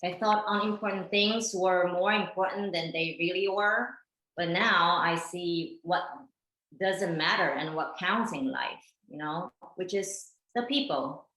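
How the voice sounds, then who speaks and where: A woman's voice: on the low side, unhurried, pushed and bright. A woman playing an earnest, reflective woman in a conversational documentary theater monologue, recorded in a roomy space.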